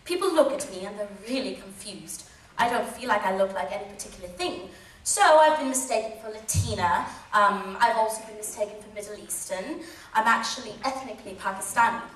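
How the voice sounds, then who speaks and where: A woman's voice: mid-range voice, expressive, fairly quick, fairly bright tone, a little hiss or hum. A woman from England with a well-spoken British accent, giving a wry personal testimony on stage. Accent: British English. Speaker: speaker sharing a testimony. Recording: echoey hall, mic far off.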